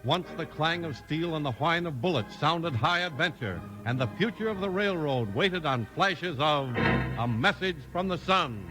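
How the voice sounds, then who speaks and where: A man announces in emphatic 1950s American radio style. His slightly high voice swings dramatically up and down, with prominent music behind it on a vintage broadcast. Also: lively intonation, normal speed, low-quality recording. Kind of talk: 1950s radio announcing, scripted narration and advertising.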